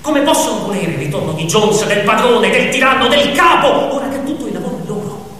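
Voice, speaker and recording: A man's voice: high voice, lively intonation, a little fast, a crowd in the background. A man narrating a radio play in a dramatic, declamatory and ironic theatrical style. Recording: echoey hall, distant mic, music behind.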